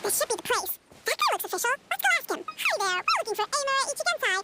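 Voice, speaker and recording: A woman voicing a small, excitable, cheeky floating girl companion in a video game, squeaky and childlike, exclaiming often. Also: very fast, heavy background noise, heavily acted. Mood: curious. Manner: speaks of self in third person, exclamatory. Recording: loud game music behind voice.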